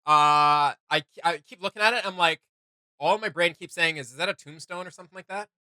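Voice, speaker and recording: A man co-hosting a casual reaction video, animated and jokey. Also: fairly high pitch, brisk, no room sound.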